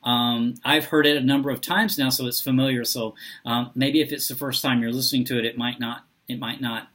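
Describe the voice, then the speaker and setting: A man talking casually and unscripted as a vlogger, halting often as he searches for his words. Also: medium pitch, steady tone, a bit bright. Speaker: book and reading vlogger. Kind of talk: casual unscripted vlog talk.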